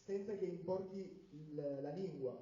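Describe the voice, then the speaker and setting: A man's voice: sits high, unhurried, dark tone. A man interpreting a sermon phrase by phrase, with occasional hesitations and restarts. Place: church hall. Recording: roomy, distant, dull church recording.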